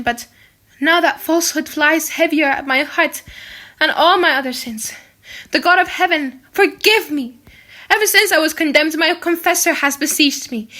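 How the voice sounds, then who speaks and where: A woman's voice: very high-pitched, bright, forceful tone, pitched above the performer's own voice. A woman voicing a pious, wretched young servant woman condemned to die, pleading and emotional in a dramatic audiobook. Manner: sobbing, pleading, breathy outbursts. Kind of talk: dramatic audiobook character acting.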